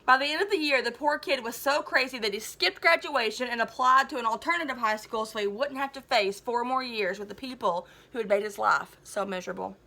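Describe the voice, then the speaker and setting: A woman teacher reads a children's story aloud to her students. Her voice is very high and bright. She is animated and expressive, with a chatty, enthusiastic way of talking. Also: brisk, hardly any pauses.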